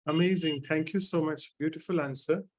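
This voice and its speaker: A man hosts a workshop Q&A in a slightly high voice. He relays an audience question politely, with a few "uh" fillers, and keeps the session moving. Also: fairly even intonation, fairly quick. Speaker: moderator. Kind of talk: hosting a workshop Q&A.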